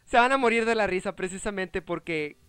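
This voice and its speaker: A man in his thirties with a Mexican accent, chatting casually and excitably as a gaming show host, joking and repeating his phrases. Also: sits high, a little fast, clean tone, no room sound, studio quality. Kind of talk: casual chat over gameplay.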